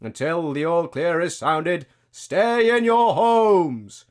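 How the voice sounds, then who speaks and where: High-pitched, pompous town herald. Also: male voice, takes it slowly. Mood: pompous, ominous. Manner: pompous, declamatory, dramatic. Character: pompous, loud male town herald proclaiming doom. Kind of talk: town crier proclamation in radio comedy.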